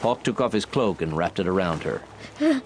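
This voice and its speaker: A man's voice: deep voice, huge pitch swings, moderate pace, noisy background. A man narrating a dramatized audiobook in a scripted, dramatic storytelling style.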